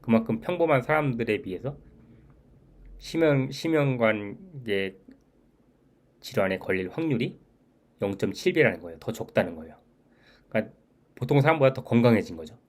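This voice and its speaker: A man's voice: medium pitch, darkish tone. A man in his forties talking through his own experience in a reflective monologue, earnest and repeating his key points, often restarting sentences. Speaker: channel host.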